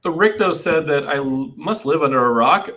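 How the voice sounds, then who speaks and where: Bright-voiced joking man from Texas. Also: on the high side, normal speed. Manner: animated, joking, rambling with restarts. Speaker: podcast host. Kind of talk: casual chat.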